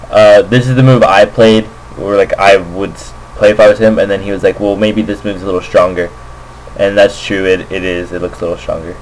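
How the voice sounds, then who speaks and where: A man's voice: sits low, darkish tone, slight background noise, low-quality recording. A man giving casual, unscripted game commentary, hesitant and thinking aloud as he goes. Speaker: amateur Go player, commentator. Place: indoors at a computer, screen recording voice-over. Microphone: makeshift computer microphone.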